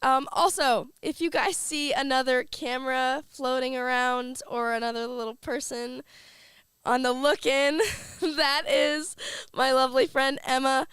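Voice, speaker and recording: A young woman hosts her radio show while battling a cold, talking in a loose, chatty way. Her voice sits fairly high and sounds smooth and clear. The studio sound is dry and close to the mic. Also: level tone, unhurried, fairly bright tone, dry recording. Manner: chatty, uses filler words like "um" and "like". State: has a cold. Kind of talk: casual radio talk. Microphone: studio microphone.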